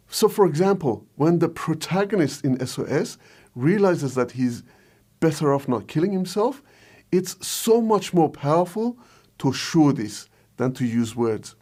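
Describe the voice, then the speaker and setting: A man's voice: fairly high pitch, darkish tone. A man presenting a scripted explainer as a video host and filmmaker. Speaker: video host, short film director.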